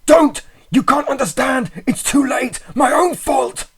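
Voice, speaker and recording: A man's voice: very high-pitched, a little slow, creaky, breathy edge, voice pushed up, full character voice. A man voicing a terrified, doomed man screaming frantic warnings in a horror story reading. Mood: frantic pleading. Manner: frantic, shrieking, pleading.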